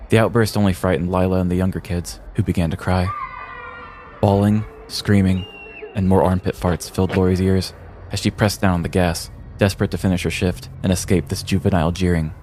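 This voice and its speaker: An American man reading a scripted comedic story in a deliberate, theatrical storyteller's manner. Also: low-pitched, level tone, darkish tone, a little hiss or hum. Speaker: podcast host telling a story.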